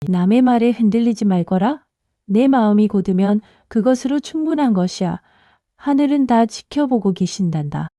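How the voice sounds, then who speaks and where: A woman's voice: a little high, lively intonation, moderate pace, mellow, dry recording, studio quality, lightly acted. A woman voicing a kindly mother in a bedtime folktale, speaking in a tender, reassuring way. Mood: tender, consoling. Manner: tender, consoling. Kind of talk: bedtime folktale character dialogue.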